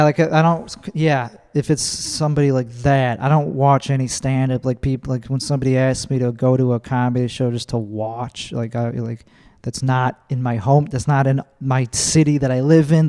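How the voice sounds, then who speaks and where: Smooth, mellow-voiced man speaking bluntly. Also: medium pitch, little pitch movement, normal speed. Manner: blunt, candid and offhand. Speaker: stand-up comedian guest. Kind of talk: casual conversational interview.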